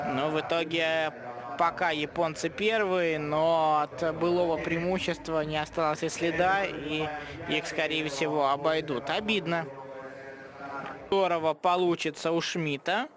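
A man giving live sports commentary in a relaxed, conversational way, often pausing to think aloud. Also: on the high side.

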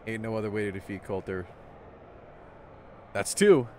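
Excitable young man streaming a game. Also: fairly low pitch, normal speed, slight background noise. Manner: excitable, chatty, addresses chat. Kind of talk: casual live-stream commentary. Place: small room indoors. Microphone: headset.

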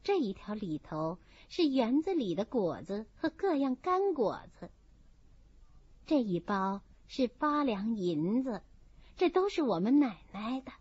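A woman's voice: on the high side, very animated, a little slow, darkish tone, slightly rough, no room sound, heavily acted. A woman voicing a modest, friendly young maid in an audiobook, explaining things politely and in good order. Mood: kindly, orderly. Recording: dull, muffled audio.

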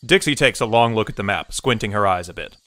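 A man's voice: on the low side, animated, fast, bright, forceful tone, dry recording. A man giving scripted, articulate, descriptive narration for a visual novel. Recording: music behind.

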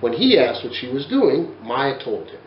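A man's voice: medium pitch, normal speed, echoey room, muffled recording, a little hiss or hum. A man in his forties reading a children's picture book aloud in a bookshelf-lined study, telling it expressively. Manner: expressive, animated storytelling. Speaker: storyteller.

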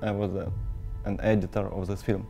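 A man with a Russian accent speaking English in an interview, halting and searching for words. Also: fairly low pitch, flat delivery, gravelly, mellow, soft background music. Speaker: interviewee, film editor. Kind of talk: sit-down interview answers. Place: indoor studio space.

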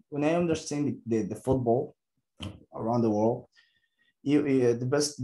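A man in his twenties with a Mexican Spanish accent, a football player answering press questions in halting English, often stopping and restarting as he searches for words. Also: medium pitch, a little mellow, poor audio.

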